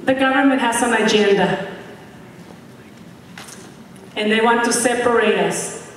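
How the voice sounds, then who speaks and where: A woman from Mexico with a Mexican accent and Spanish as her first language, giving an emphatic, deliberate impromptu political speech. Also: a little high. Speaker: congressional candidate, guest speaker. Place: event hall with audience. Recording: echoey noisy hall, distant microphone.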